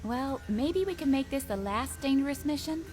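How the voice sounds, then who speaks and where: An actress playing the worried, caring partner of a space pilot in a video game. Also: female voice, sits high, lively intonation, normal speed, a little mellow, soft background music. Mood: worried, pleading. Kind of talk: scripted video game character acting.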